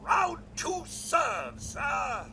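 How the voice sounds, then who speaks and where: High-pitched, fussy British robot butler. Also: male voice, wildly swooping pitch, extremely slow, creaky, sharp tone, a little hiss or hum, heavily acted. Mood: dutiful, chipper. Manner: formal, polite, theatrical, fretful. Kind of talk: video game character acting.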